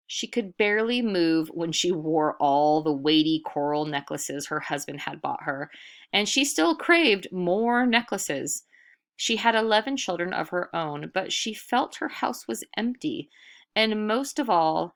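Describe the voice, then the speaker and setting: A woman storyteller reading a picture book aloud in an expressive, dramatic way with deliberate emphasis. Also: low voice, vocal fry.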